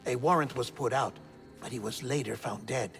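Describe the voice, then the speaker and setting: An actor playing an earnest, wry intelligence operative briefing a comrade in a video game cutscene, measured and pausing for drama. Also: male voice, mid-range voice, gravelly, fairly bright tone. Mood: confiding, wry. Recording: game music behind the voice.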